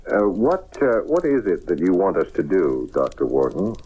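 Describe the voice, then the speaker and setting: A skeptical husband in a haunted house questions things in a lowish voice that swings up and down. He sounds bewildered and incredulous, in old radio drama style. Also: sing-song, moderate pace, mellow, breathy edge, heavily acted. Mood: puzzled. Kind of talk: old-time radio mystery acting.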